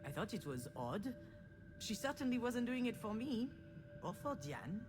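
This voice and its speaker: A woman's voice: fairly high pitch, big pitch swings, moderate pace. A woman playing a self-deprecating, wistful adult daughter, narrating her family memories in a reflective, conversational first-person voice for a visual novel. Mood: confiding, uneasy. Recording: prominent music under the voice. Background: loud music.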